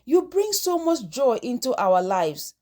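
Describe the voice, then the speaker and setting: Woman voicing a high-pitched, proud, loving father. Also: big pitch swings, measured pace, dark tone. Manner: affectionate, encouraging.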